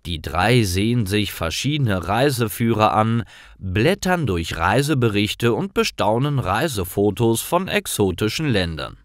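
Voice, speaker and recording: A man reads short stories for language learners in a lowish voice with lively intonation. He takes his time over simple sentences. The recording is dry and close. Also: measured pace, no room sound. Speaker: narrator of German learning stories. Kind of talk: scripted storytelling for language learners.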